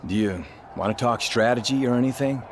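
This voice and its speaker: A man playing a steady, protective veteran wrestler in a video game cutscene, speaking earnestly and frankly. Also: medium pitch, brisk, a little hiss or hum, lightly acted. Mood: earnest, concerned. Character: steady veteran male wrestler, protective would-be tag partner.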